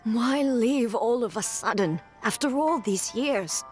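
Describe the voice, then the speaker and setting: A sincere village woman in a game. Her slightly high voice is earnest, worried and imploring, with music clearly playing behind it. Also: lively intonation, slow, darkish tone. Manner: earnest, concerned. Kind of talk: video game voice acting. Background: background game music.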